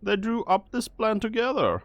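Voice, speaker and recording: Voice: mid-range voice, big pitch swings, normal speed, no echo, clean studio sound. A performer voicing a stiff, officious subordinate officer in an amateur fan dub.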